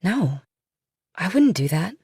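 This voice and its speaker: Young professional woman, uneasy and tentative, acted by a woman. Audiobook dialogue, gravelly fry, dry recording. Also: fairly low pitch, very animated, slow, mellow, no echo, full character voice. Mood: uneasy, defensive.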